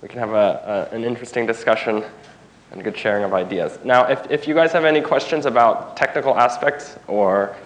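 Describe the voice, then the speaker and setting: Male conference speaker with a cold, stuffy and informal, middle pitch, addressing a room. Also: a little fast, a little hiss or hum, poor audio. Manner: informal, restarts phrases, engages audience. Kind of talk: technical conference talk.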